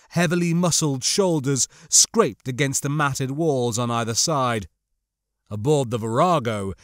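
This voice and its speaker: Expressive male audiobook narrator, animated pitch. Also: no echo, clean studio sound. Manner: measured, literary storytelling. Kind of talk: scripted dramatic narration.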